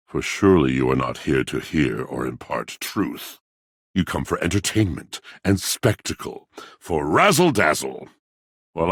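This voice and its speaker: An actor playing a grandiloquent, melancholy washing machine in a video game, with florid, courtly, old-fashioned diction and a gravelly voice. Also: male voice, fairly bright tone, no room sound, clean studio sound, exaggerated voice. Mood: bitter, disappointed. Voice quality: gravelly, deep. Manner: theatrical, florid, formal old-fashioned diction, savoring words. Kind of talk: theatrical video game character acting.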